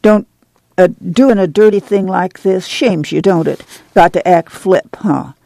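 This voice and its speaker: A man voicing a weary, proud older farm mother in an audiobook, speaking softly with a drawl. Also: high voice, measured pace, creaky, no echo, pitched above the performer's own voice. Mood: gently probing. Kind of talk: audiobook character voice.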